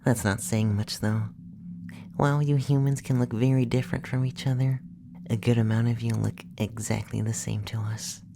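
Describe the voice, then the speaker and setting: Male actor as alien abductor, ASMR roleplay, hushed half-whisper, creaky low-key murmur, curious, condescending, close mic, noisy ambience. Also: fairly even intonation, normal speed, lightly acted. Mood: curious, teasing.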